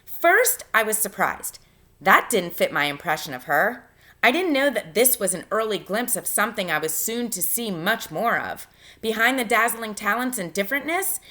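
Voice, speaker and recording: Bright-voiced woman teacher reading aloud. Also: mid-range voice. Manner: instructive, expressive reader. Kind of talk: read-aloud lesson, narration with spoken instructions.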